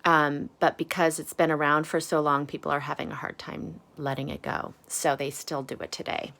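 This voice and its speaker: A woman reading a children's storybook aloud with casual asides, in deliberate phrases with emphasis on key words. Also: low voice, little pitch movement, gravelly, a bit bright. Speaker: storybook reader.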